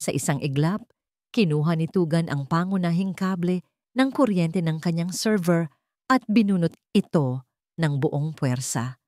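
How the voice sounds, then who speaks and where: Low voiced lady telling a dramatic story, measured and suspenseful, really dry sound. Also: lively intonation, darkish tone, dry recording. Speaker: story narrator. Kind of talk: dramatic scripted story narration.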